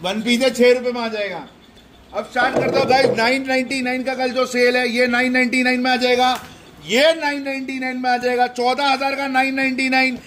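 Young shop guy with a really high flat voice listing prices over and over on his phone in a big store, faint hum behind. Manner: repetitive rapid-fire price listing. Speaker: shop salesman. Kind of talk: promotional sale walkthrough. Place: large indoor shoe and sportswear store.

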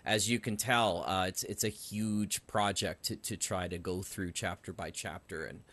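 A man's voice: sits low, fairly even intonation, normal speed, a bit bright. A man hosting a casual interview about his field, talking in an enthusiastic way. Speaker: podcast host and audio drama writer.

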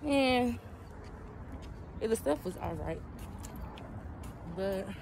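A woman's voice: on the high side, animated, very slow, creaky, a little mellow. Chatty young woman vlogging in a store. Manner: chatty, slangy, drops to whispers at times. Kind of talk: casual vlog chat. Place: indoors in a large retail store. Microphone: phone held at arm's length. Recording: busy store background noise.

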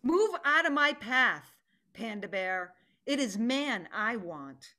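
Woman acting a slow, high-voiced commanding tigress. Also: big pitch swings, pushed and bright, heavily acted. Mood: fierce. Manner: deliberate, commanding. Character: fierce female tiger, stern, determined hunter. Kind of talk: dramatic play reading. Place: home room, video call.

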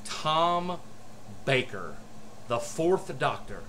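A man in his forties chatting casually to a webcam as a vlogger, enthusiastic and rambling, with halting pauses. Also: on the high side, very animated, fairly bright tone. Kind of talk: casual excited unboxing vlog chat. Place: small room at home, indoors. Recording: noisy room with air conditioner hum. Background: air conditioner hum.

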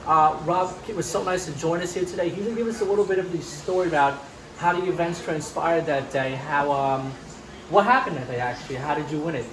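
A man's voice: fairly high pitch, brisk, noisy background. A young man hosting a fishing report, reading scripted news and interviewing an angler in a tackle shop. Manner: easygoing, encouraging interviewer. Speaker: fishing show host and managing editor.

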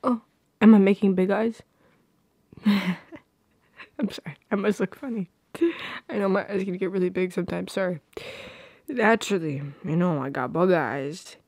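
Breathy, slow, shy young woman acted by a woman. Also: medium pitch, darkish tone, dry recording. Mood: teasing, shy. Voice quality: breathy, whispery. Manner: hesitant, halting, tender. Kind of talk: intimate ASMR roleplay, often whispered.

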